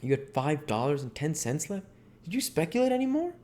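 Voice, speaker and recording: A man's voice: a little high, big pitch swings, fairly quick, darkish tone, pitched above the performer's own voice. A man voicing a curious, plain-spoken storybook runaway boy with a folksy, inquisitive manner. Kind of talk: storybook character reading. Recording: audible background noise.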